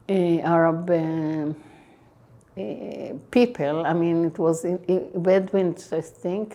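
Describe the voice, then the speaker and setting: A woman in her sixties from Israel with an Israeli accent, answering interview questions slowly and haltingly, with many fillers. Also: sits low, warm tone. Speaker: guest, tech entrepreneur.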